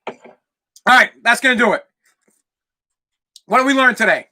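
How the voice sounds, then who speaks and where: A young man with a Boston accent hosting his own podcast, ranting loudly and profanely as he talks straight to his listeners. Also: extremely high pitch, expressive, normal speed. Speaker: podcast host. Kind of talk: solo podcast rant.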